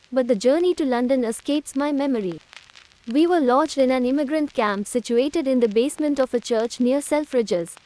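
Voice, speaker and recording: An older woman from India recalling her childhood journey in a measured, formal storytelling manner. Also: high voice, darkish tone, rough recording. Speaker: interviewee recalling migration. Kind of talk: recorded personal recollection.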